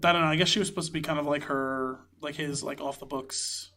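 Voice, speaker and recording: A man's voice: a little high, steady tone, fast talker, sharp tone. A man co-hosting a podcast in casual, informal movie chat, acting out little quotes as he goes. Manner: informal, acts out lines as quotes. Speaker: podcast co-host.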